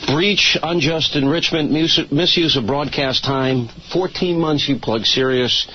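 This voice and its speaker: A man's voice: a little high, unhurried. Tv interviewer guy laying out points hard, middle voice, dull audio with slight hiss. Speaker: television interviewer. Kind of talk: confrontational interview questioning. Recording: dull TV clip, faint noise.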